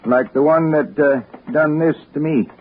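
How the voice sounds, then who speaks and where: A grizzled old American local played by a male radio actor gives folksy, foreboding warnings in a hesitant drawl. His voice sits slightly high for a man. The vintage radio recording is dull, with faint noise behind it. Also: steady tone, a little slow, lightly acted. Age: older. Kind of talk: 1960s radio acting.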